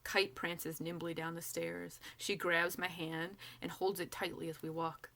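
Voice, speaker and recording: A woman's voice: on the low side, unhurried, creaky. A woman reading a first-person novel aloud as an author, narrating expressively and acting out the dialogue. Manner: animated, acts out dialogue.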